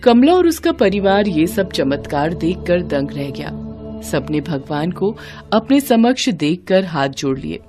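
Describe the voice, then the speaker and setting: Low-voiced woman narrating a devotional tale. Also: very animated, unhurried, mellow. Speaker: storyteller. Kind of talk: scripted story narration. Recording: music playing behind.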